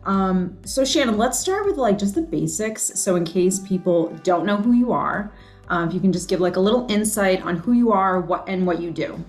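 Host, woman, mid-pitched, casual and filler-heavy, faint music underneath. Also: expressive, moderate pace, a little mellow. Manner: chatty, with many fillers like "like". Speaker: podcast host. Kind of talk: casual interview chat.

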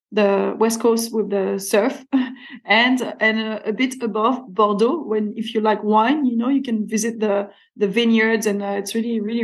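A woman in her thirties with a French accent, talking casually as a guest. She hunts for her English words, pausing and restarting often, with a warm middle-range voice. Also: mid-range voice. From France. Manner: hesitant, many fillers and restarts, laughs often. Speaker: guest musician. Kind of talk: casual interview chat.

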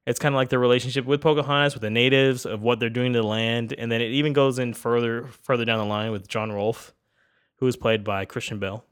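A man's voice: medium pitch, little pitch movement, fast talker, smooth. A young man co-hosting a podcast, a bit stuffy and nasal from a cold, talking casually and enthusiastically with lots of restarts. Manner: rambling, enthusiastic, full of restarts. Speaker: podcast co-host. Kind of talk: casual chat.

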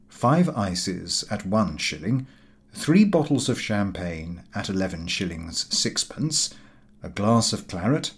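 A man voicing a polite waiter who deferentially but firmly sets out a bill, slowly and precisely. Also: on the low side, pitched below the performer's own voice.

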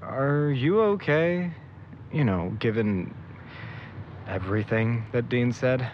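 A man playing a gentle, tentative, caring young man in a naturalistic audio drama. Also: mid-range voice, expressive, slow pace. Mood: concerned. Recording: dull recording with faint background noise.

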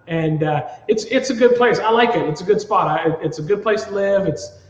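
A man's voice: high voice, brisk, reverberant, big-room sound, muffled recording. A middle-aged man from Ontario, Canada, chatting as an interview guest in a rambling, easygoing way, often repeating his words. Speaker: guest, TV producer. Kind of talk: casual interview chat.

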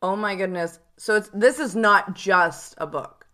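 A woman's voice: medium pitch, unhurried, bright, forceful tone. A woman hosting a podcast, asking questions in an enthusiastic, encouraging way. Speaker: podcast host. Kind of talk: podcast interview.

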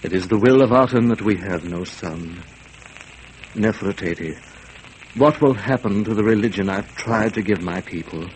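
A man playing a gentle, frail, idealistic king who speaks earnestly. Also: lowish voice, moderate pace, a little hiss or hum, natural voice, poor audio.

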